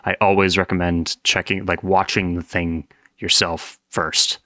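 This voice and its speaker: A man with a low-pitched voice gives casual reaction commentary. He is playful and joking, teasing his viewers in mock scolding. The sound is dry, with very little room in it. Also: level tone, measured pace, bright, forceful tone, dry recording. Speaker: host reacting to horror videos.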